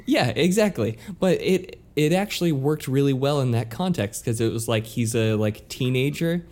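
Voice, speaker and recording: A man's voice: medium pitch, expressive, moderate pace, a little mellow. An American man co-hosting a casual podcast chat. He rambles and restarts his sentences, padding them with plenty of filler words. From United States. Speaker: podcast co-host.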